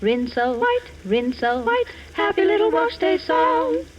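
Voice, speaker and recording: A woman's voice: very high voice, big pitch swings, a little slow, loud music behind the voice. A woman singing an advertising jingle in 1940s radio style. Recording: dull vintage radio recording.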